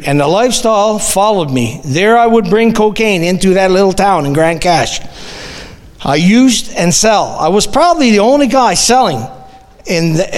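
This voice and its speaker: A man's voice: high-pitched, long reverb tail. A middle-aged man from Newfoundland with a Newfoundland accent, earnestly reading his personal testimony aloud to a church congregation with small restarts. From Newfoundland, Canada. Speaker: guest giving a church testimony. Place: church hall.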